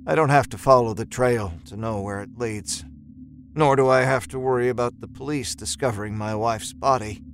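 A man reading first-person horror fiction as dramatic scripted narration, with a deliberate, theatrical delivery. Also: mid-range voice, noisy background. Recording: narration over a music score. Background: soft musical score.

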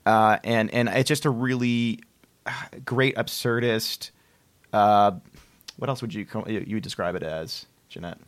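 A man's voice: fairly low pitch, steady tone, a little slow, no room sound. A man hosting a podcast in casual chat, hesitant and repeating words as he searches for phrasing. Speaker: podcast host.

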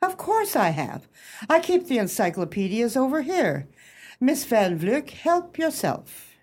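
Radio drama: imperious society hostess played by a woman, precise diction, very lively range. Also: a little high, a little slow. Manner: pompous, precise, formal diction. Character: haughty society hostess, bluffing book club leader. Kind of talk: radio play acting.

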